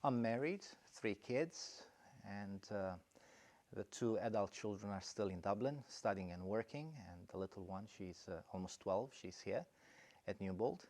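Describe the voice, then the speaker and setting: A middle-aged man from Romania speaks in a low voice, thinking aloud as he answers. He pauses often and restarts his sentences, with plenty of fillers along the way. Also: a little mellow. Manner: thoughtful, halting, many fillers and restarts. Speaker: college principal. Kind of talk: interview answers.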